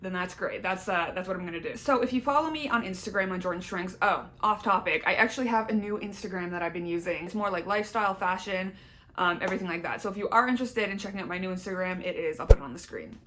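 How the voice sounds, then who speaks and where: A woman's voice: mid-range voice, fast talker, fairly bright tone. A young woman with a Canadian accent giving a casual, candid personal update to her viewers, chatty and emphatic. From Southern Ontario, Canada. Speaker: YouTuber.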